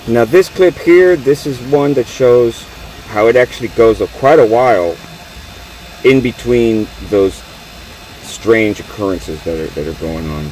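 A man's voice: medium pitch, measured pace, low-quality recording. A man, a refrigeration technician casually walking viewers through a fault on a rooftop, filmed on a phone held close. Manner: relaxed, plain-spoken, talks to viewers as "y'all". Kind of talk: casual on-the-job walkthrough. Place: outdoors on a commercial rooftop by running equipment. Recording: loud machinery and traffic noise. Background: loud compressor and fan hum.

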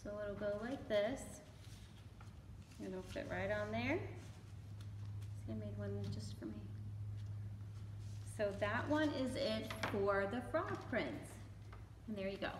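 A woman's voice: mid-range voice, slow pace, pauses often. An American woman giving a casual children's craft demonstration. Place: library room with bookshelves. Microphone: camera a few meters away. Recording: echoey room, clear background noise.

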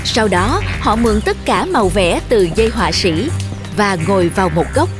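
A woman's voice: medium pitch, expressive, moderate pace. A woman reading scripted fairy-tale narration for children as the storyteller. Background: music.

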